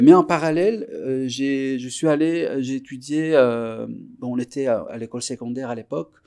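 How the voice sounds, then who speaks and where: A man in his forties from Iran whose first language is Persian, a musician guest recalling his past in a relaxed interview, unhurried and reflective, often pausing to search for words. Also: medium pitch, vocal fry. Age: 40s.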